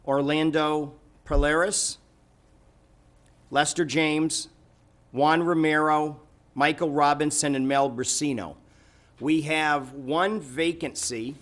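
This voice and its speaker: A man chairing a city council meeting, giving informal remarks and updates in a halting, conversational way. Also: on the high side, a bit bright.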